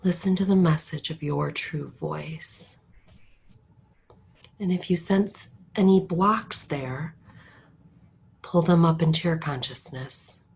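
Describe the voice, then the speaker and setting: A woman guides a meditation in a low, gravelly voice with a husky edge. She speaks slowly and calmly, often sinking into a soft whisper. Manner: soft, drifting between murmur and whisper; calm, unhurried instructions.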